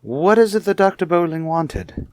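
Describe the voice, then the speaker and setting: A man's voice: a little high, lively intonation, normal speed, a little mellow, no echo, clean studio sound, natural voice. Man acting a coolly courteous, suspicious radio-soap husband. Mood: pointed, suspicious. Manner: formal, pointedly polite phrasing. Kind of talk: old-time radio soap opera acting.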